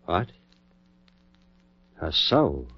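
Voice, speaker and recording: Commanding, intense radio-play scientist, raspy voice, clipped delivery, on a muffled vintage recording. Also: male voice, deep voice, big pitch swings, very slow, no echo, full character voice. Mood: insistent, arguing. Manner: clipped orders, intense, condescending. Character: obsessed, commanding male scientist-surgeon reviving the dead. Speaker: actor in a horror radio play. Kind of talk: old-time radio drama acting.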